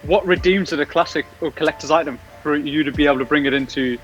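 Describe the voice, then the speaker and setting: A man with a southern African accent softened by years in the UK, chatting casually as an interview guest. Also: a little high, quick pace, muffled recording, over music. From southern Africa, grew up in Zimbabwe and South Africa. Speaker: guest, car YouTuber.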